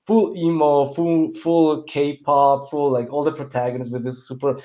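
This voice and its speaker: A man talking casually and giving opinions as a film panellist, halting and searching for words. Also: on the high side, little pitch movement, dark tone. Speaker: panellist on a film talk show. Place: online call with screen sharing. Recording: dull, lo-fi call audio.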